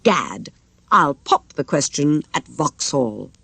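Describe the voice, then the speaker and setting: Casting: woman to play a blustering, self-important young gentleman, plump and vain, lively intonation, audiobook. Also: deep voice, takes it slowly, gravelly, husky, no room sound, voice pushed down, exaggerated voice. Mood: resolved. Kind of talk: audiobook character voice.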